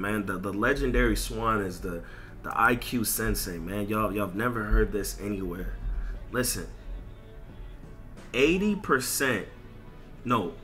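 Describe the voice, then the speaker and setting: A man's voice: on the low side, bright, forceful tone. An American man streamer ranting casually in slang with heavy swearing, emphatic and repeating himself. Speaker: streamer and content creator. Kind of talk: casual livestream rant over gameplay.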